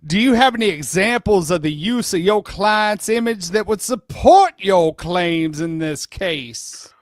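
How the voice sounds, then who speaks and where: A man performs a courteous but dogged examining attorney in a comic deposition reading. His voice sits high for a man and moves at a measured pace. He repeats himself, placates and keeps pressing for answers. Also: lively intonation, no echo, studio quality. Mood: probing. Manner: methodical, repetitive, placating questioning. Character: persistent, polite male lawyer questioning a deposition witness.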